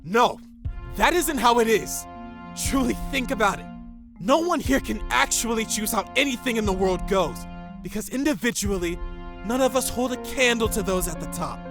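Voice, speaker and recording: A man's voice: very high-pitched, expressive, normal speed, over music. An actor playing a passionate, blunt young male rebel leader in an audio drama. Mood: impassioned. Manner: impassioned, blunt, swearing.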